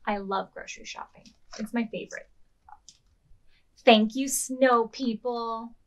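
Higher-pitched woman reading to preschoolers. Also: unhurried. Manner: expressive, with questions addressed to small children. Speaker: children's librarian hosting a virtual storytime. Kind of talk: read-aloud and instructions for preschoolers.

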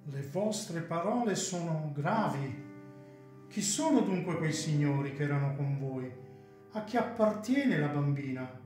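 A man acts out a chatty hotel waiter with a high voice and a teasing, theatrical manner. He speaks radio-play style in a strongly reverberant room, faint music behind. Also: animated, a little slow, a little mellow. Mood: patronising, insistent. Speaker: actor in a radio drama. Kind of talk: radio drama acting.

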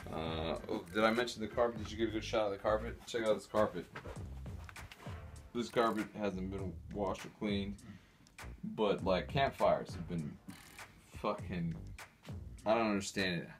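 A man in his thirties with a fairly low voice leads a casual house tour. He talks in sardonic, mock-showy slang and swears freely. He is picked up by a close handheld camera in small rooms, with some music present. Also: moderate pace, long pauses. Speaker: vlogger giving a house tour.

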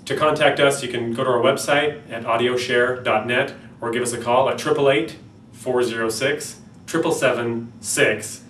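A man in his thirties with a North American accent, giving an explanatory product demonstration in a somewhat halting way. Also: mid-range voice, steady tone, a bit bright. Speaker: company product presenter. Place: small plain-walled room indoors. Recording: roomy, distant mic, faint background noise.

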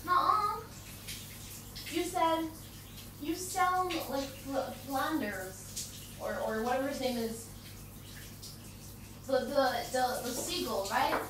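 High-voiced playful woman in a very echoey space. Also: fairly bright tone, distant mic. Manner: playful, teasing, halting with repeated words. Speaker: girlfriend roleplay audio performer. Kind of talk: intimate ASMR girlfriend roleplay, casual one-sided chat. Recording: very echoey bathroom-like sound, off-mic, background water noise.

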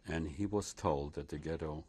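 An older man from Poland, seated in a living room with a lapel mic, recounting his memories slowly and reflectively, with many pauses and restarts. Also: a bass voice, a little mellow, breathy edge. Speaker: interviewee.

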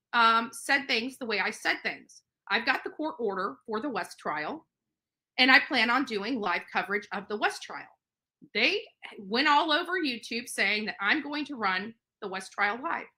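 A middle-aged woman speaks straight to camera as a livestream host. Her voice sits a little high and has a sharp, forceful edge. She sounds indignant and emphatic, repeating phrases to drive them home. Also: poor audio. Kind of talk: direct-to-camera commentary. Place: indoor living room on a couch.

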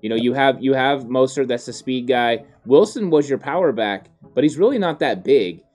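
A man in his thirties, a podcast co-host, explaining football draft moves in a steady, casual way with frequent fillers. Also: mid-range voice, normal speed. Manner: steady, explanatory, many "you know" fillers. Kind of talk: casual sports analysis chat. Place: home studio, indoors. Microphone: studio mic on boom arm.

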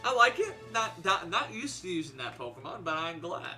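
Animated higher-voiced man bantering over game music. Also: fairly quick. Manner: playful, repeats short phrases. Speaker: let's play co-host and player. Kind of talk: casual gaming banter. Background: Pokemon battle game music.

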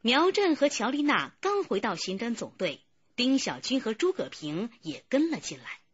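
A woman with a husky voice giving scripted narration for a radio drama. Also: on the high side, sing-song, normal speed, lo-fi sound.

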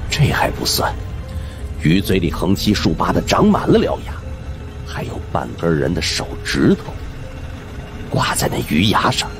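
A man's voice: low-pitched, huge pitch swings, moderate pace, long pauses. A man narrating supernatural suspense fiction as a dramatic audiobook reader. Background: background music.

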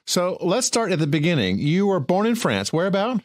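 A man's voice: high voice, big pitch swings, fairly quick, no echo, studio quality. A man hosting an architecture radio show, chatty and enthusiastic as he asks questions. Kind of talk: casual interview.

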